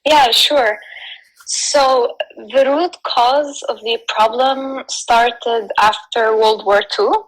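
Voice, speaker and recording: A woman from Lebanon giving explanatory answers as an interview guest, speaking haltingly with frequent pauses. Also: on the high side, fairly even intonation, poor audio.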